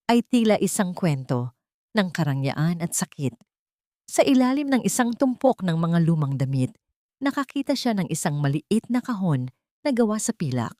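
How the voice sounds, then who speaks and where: A woman reads a scripted dramatic story as its narrator. Her voice is on the lower side for a woman, and the recording is dry with very little room sound. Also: darkish tone, no echo.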